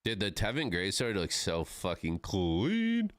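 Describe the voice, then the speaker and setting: A relaxed man in his thirties streams a game and talks to his chat, swearing easily and dropping "bro" and "man" all the time. His voice sits fairly low but swings up and down a lot. The sound is dry and close to the mic. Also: unhurried, no room sound. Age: 30s. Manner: laid-back, swears freely, says "bro" and "man". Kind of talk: casual live-stream chat with viewers.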